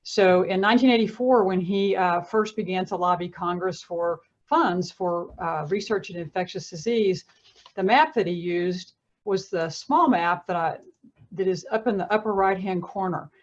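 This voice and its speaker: A woman speaking as an expert panelist, giving a measured explanatory presentation. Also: on the low side, dull audio. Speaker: panelist, academic infectious disease expert.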